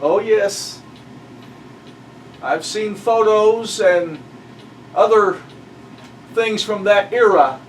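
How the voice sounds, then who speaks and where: A middle-aged man from southeast Missouri, a pastor telling stories in an unhurried, friendly way. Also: sits high. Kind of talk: casual conversation. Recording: distant mic with faint background noise.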